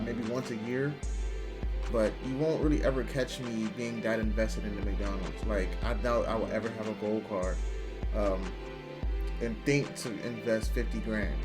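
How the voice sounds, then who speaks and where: A man hosting a reaction video, loose and blunt, dropping slang as he comments. Music plays clearly under his voice. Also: fairly low pitch. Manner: laid-back, blunt slang asides.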